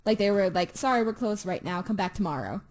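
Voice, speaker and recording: A woman's voice: medium pitch, lively intonation, quick pace, a little mellow. Woman, podcast guest, casual chat, informal and slangy, swears freely.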